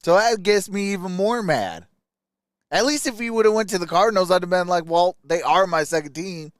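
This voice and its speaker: A man's voice: high-pitched, a little fast, no echo, clean studio sound. A man hosting a live stream, giving casual, colloquial sports commentary like a die-hard fan.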